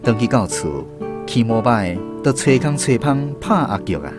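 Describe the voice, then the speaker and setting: A man's voice: mid-range voice, sing-song, normal speed. A man with a Taiwanese accent narrating a radio drama as a storyteller. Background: music.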